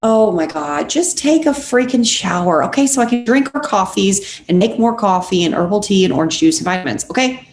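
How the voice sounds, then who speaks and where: A woman's voice: mid-range voice, expressive, fairly quick, few pauses, natural voice. A woman playing a blunt, sarcastic, impatient young best friend in a script table read. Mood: exasperated, rattled. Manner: sardonic, impatient. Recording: echoey room with faint noise.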